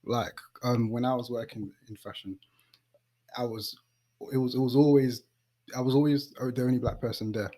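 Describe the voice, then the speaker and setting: Casting: male podcast host, London accent, soft mellow tone, informal and chatty delivery. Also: medium pitch, steady tone, normal speed. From East London, England. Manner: informal, conversational, uses slang. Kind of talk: casual interview chat and podcast introduction.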